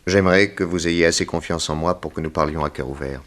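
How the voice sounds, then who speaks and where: Private detective, low deep voice, polite, measured, probing, persistent, 1960s radio drama. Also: male voice, a bit bright. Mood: probing, courteous.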